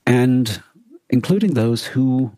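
A man co-hosting a podcast, talking casually and thoughtfully, often halting to rephrase what he says. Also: medium pitch, animated, dark tone, no room sound. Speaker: podcast co-host. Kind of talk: casual discussion of a radio drama and war.

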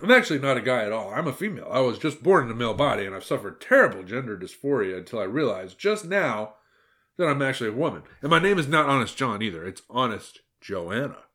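A man acts out a big bad wolf from a satirical fairy tale. He is meek and ingratiating, pleading politely in a middle-pitched voice with lively swings. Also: quick pace. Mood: pleading, wheedling.

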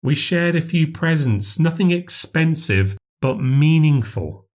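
Man putting on a prim, high-pitched grandmother. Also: animated, slow pace, darkish tone. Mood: fond, nostalgic. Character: genteel, self-righteous, easily wounded grandmother. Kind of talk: audiobook character voice.

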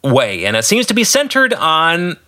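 A man's voice: on the high side, wildly swooping pitch, moderate pace, pushed and bright. A man giving solo podcast commentary as an author and host, earnest and explanatory. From United States.

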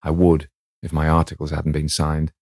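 A brooding teenage brother, blunt and protective, as a man voices him. The voice stays low, husky and dark, read close to the mic in a dry space. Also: monotone, moderate pace, dry recording. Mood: sullen. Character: sullen sixteen-year-old boy, protective brother.

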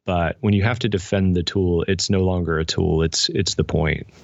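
A man's voice: deep voice, steady tone, moderate pace, creaky, no room sound. A man speaking reflectively and conversationally as an interview guest, on a somewhat lo-fi recording. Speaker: podcast guest, spiritual director and author. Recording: dull, lo-fi, dry sound.

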